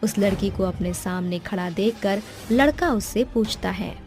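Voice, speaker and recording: A woman's voice: medium pitch, unhurried, a little mellow. A woman reading scripted narration for a cartoon horror story. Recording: background music under the voice.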